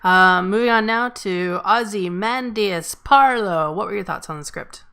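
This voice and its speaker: A woman's voice: mid-range voice, a little slow, sharp tone, clean tone. A woman hosting a casual group discussion, chatting in an informal, joking way. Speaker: host moderating a script table read. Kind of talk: casual chat.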